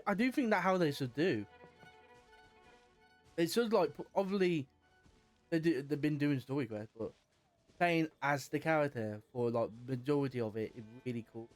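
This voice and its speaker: A man streaming a video game, reacting in casual commentary that rambles, full of fillers and restarts. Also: medium pitch.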